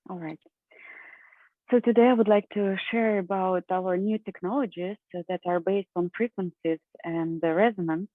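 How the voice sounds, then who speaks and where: A woman's voice: medium pitch, normal speed, no echo. A woman from Russia giving a talk at an online conference over a video call, friendly and sprinkled with small laughs and fillers. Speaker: conference speaker. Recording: tinny phone-like online call audio.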